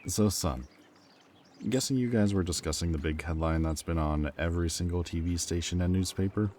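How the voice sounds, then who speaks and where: Man playing a very deep-voiced, wry ex-villain. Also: moderate pace, a little mellow, a little hiss or hum, lightly acted. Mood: playful teasing. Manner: casual, teasing, earnest. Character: wry, loyal ex-villain thief, man, friend of a hero. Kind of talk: roleplay audio drama acting, conversational.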